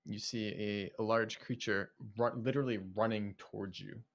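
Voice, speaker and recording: A man narrating as game master of a tabletop game, halting and thinking aloud. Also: on the low side, steady tone, lo-fi sound, a little hiss or hum.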